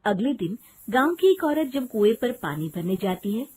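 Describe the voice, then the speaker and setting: Animated, high-voiced woman storyteller. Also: moderate pace, noisy background. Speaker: story narrator. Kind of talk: scripted children's story narration.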